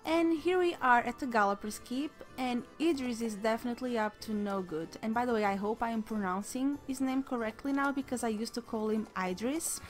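A woman hosting a game video in casual commentary, slightly hoarse as she is losing her voice, excitable about what she finds. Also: a little high, fairly quick, hardly any pauses, soft background music. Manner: excitable, gasps at new sights. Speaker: gaming channel host.

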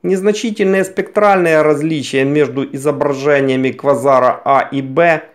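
A man reading scripted science documentary narration in a measured, formal, carefully enunciated way. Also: on the high side. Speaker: narrator of a science news video. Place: voice-over recording.

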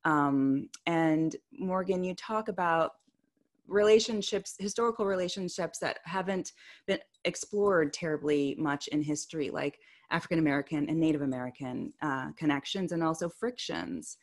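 A woman moderates a panel in a very low voice. She speaks thoughtfully, pausing often with ums. She is heard through a headset on a video call from a study at home. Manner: hesitant, many ums, thoughtful.

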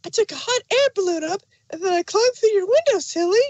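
A woman's voice: very high-pitched, animated, a little fast, dull audio, background noise. A woman voicing a hyper, chirpy, relentlessly cheerful young female pony in a fan audio drama, bubbly and pushy. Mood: bubbly, excited.

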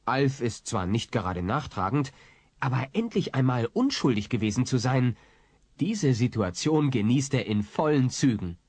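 Male audio play narrator, wry, expressive range, slightly husky tone, dry studio sound. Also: mid-range voice, moderate pace, no echo. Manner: wry, storytelling.

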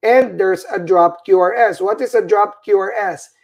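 A man's voice: high voice, moderate pace, gravelly. A middle-aged Filipino man, English as his second language, teaching an EKG class by webcam, tired and repeating "right?" to check in. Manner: repetitive, checks in with "all right?" and "right?". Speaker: nursing instructor. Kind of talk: livestreamed EKG lecture.

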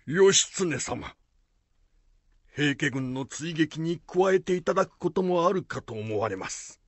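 A man plays a loyal warrior-monk retainer in a samurai radio play. His voice is husky and gravelly, and he speaks slowly in respectful, archaic phrases. The sound is dry and close. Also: fairly high pitch, expressive, dry recording. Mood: grave, deferential. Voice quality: gravelly, rough. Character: Benkei, loyal deferential warrior-monk retainer to a young lord. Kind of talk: Japanese radio drama acting.

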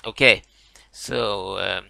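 A man with a Swedish accent, a native Swedish speaker, talking through a software tutorial, halting with many fillers and restarts. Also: lowish voice, gravelly, no room sound. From Sweden. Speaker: tutorial maker. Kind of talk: screen-recorded software walkthrough.

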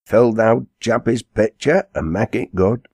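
A man's voice: on the low side, measured pace, a little mellow, dry recording, studio quality, pitched above the performer's own voice. Musing, sociable Northern English quarryman played by a man. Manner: dreamy, hearty, plain-spoken. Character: musing, sociable quarryman fond of his drink.

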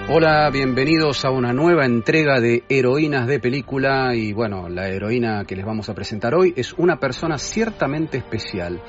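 A man co-hosting a radio program about film music, explaining in a warm way. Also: mid-range voice, lively intonation, moderate pace, hardly any pauses. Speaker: co-host, film music critic. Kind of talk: radio chat. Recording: dull audio with music.

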